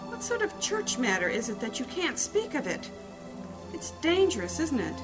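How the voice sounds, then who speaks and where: A woman acting a caring, worried wife and mother in a fantasy audio drama, motherly, fussing and expressive. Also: high-pitched, moderate pace. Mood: worried, pressing. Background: music.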